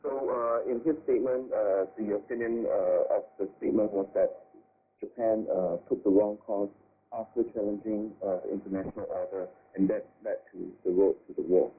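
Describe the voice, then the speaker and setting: A man giving measured political analysis as an expert guest, speaking formally and carefully with halting hesitations. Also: fairly low pitch, steady tone, breathy edge. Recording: tinny narrow-band shortwave radio.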